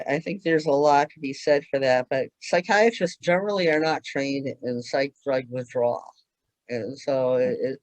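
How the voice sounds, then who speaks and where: A man on a video call with a voice a little higher than most, dry and close with no room sound. He talks earnestly as a panelist, thinking aloud and restarting his sentences. The tone is conversational. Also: no echo, low-quality recording. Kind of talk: conversational talk at an online conference.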